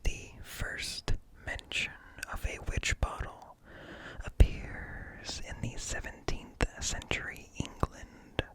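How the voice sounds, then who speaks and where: Slow whispering ASMR man. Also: rough recording. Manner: deliberate, close, hushed delivery. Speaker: ASMR host reading articles. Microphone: close up, ear-to-ear stereo.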